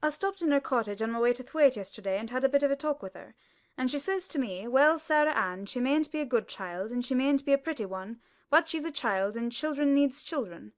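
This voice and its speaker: A woman's voice: high voice, lively intonation, fairly quick. A woman playing a plain-spoken, gossipy housekeeper in an audiobook dramatic reading, with homely colloquial speech. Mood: chatty, confiding.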